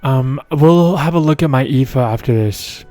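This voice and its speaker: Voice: medium pitch, a little slow, dark tone, dry recording, soft background music. A gaming streamer giving casual commentary during a playthrough.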